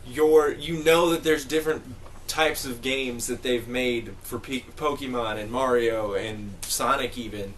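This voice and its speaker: A man speaks as a panelist in a relaxed group chat, at a medium pitch. His delivery is emphatic and rambling, full of casual slang, with faint noise behind him. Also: measured pace. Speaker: podcast panelist.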